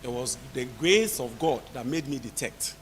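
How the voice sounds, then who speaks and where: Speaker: a mid-pitched man, casual and slightly apologetic, with room reverb on the voice. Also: lively intonation, moderate pace. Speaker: symposium speaker. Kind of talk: casual remarks to an audience. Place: conference hall. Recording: hall reverb with light noise.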